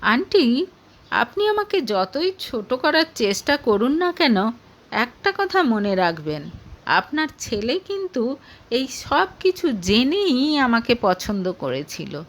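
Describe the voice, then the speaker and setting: A woman's voice: fairly high pitch, very animated, takes it slowly, heavily acted. A woman narrator voicing a hurt, defiant young woman in an audio story, challenging with pointed, indignant questions. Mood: hurt, firm. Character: hurt, defiant young Bengali woman standing her ground.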